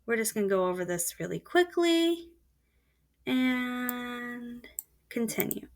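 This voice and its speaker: A woman's voice: a little high, slow. A woman giving a step-by-step voice-over tutorial of a language learning website. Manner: steady explanatory voice-over. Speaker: student presenter. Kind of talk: screen-recorded tutorial walkthrough.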